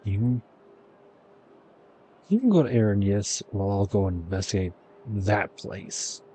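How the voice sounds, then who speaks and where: A man's voice: lowish voice, expressive, measured pace, warm tone, slightly rough. A man giving casual let's play commentary and reading game dialogue choices aloud over game music. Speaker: gaming video creator.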